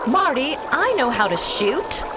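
An actress playing a gutsy, defiant gunner on a starship in a space opera radio drama. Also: female voice, high voice, big pitch swings, unhurried, loud music behind the voice. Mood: defiant, triumphant.

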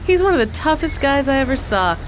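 A woman's voice: high voice, big pitch swings, fairly quick, a little hiss or hum. A woman playing a tough streetwise woman. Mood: knowing. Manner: blunt, streetwise, slangy. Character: tough, worldly woman posing as an actress. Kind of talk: 1940s-style radio drama acting.

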